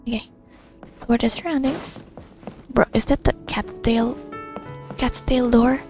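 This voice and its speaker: A woman's voice: a little high, fairly even intonation, a little slow, a little mellow, faint music behind. A woman giving casual gameplay commentary, musing aloud to herself in short fragments. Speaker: video game streamer.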